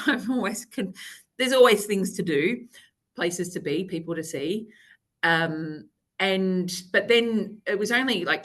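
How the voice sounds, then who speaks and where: A woman's voice: fairly low pitch, pushed and bright. A woman guest in a podcast interview, chatty and upbeat, laughing as she talks. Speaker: guest, company executive. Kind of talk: casual interview chat.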